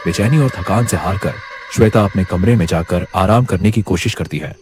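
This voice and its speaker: A man's voice: deep voice, fairly quick, heavy background noise. A man narrating a scripted horror story as its storyteller.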